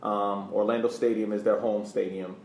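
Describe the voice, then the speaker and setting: Deep-ish voiced guy podcast host, halting sports history chat, roomy echo, bit of noise. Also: level tone, a little mellow. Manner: halting, repeats words while explaining. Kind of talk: casual sports history discussion. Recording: echoey room, faint background noise.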